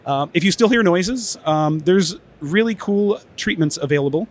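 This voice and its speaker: A man's voice: sits high, expressive, normal speed. A man presenting at an audio trade expo in a casual conference-talk manner, voice a bit tired from many interviews, with lots of fillers and restarts. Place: expo stage.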